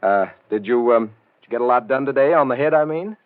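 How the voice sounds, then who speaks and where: An actor playing a genial, well-bred and devoted husband in 1940s radio drama, with a polite, hearty delivery. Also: male voice, medium pitch, a little fast, natural voice. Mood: hearty, curious. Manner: hearty, polite, formal mid-century delivery. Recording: tinny, narrow, roomy old radio broadcast.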